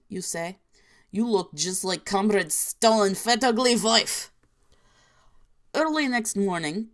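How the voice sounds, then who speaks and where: A woman reading parody horror stories in an inaccurate mock Russian accent, dropping articles in clipped broken grammar as a deadpan narrator. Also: medium pitch.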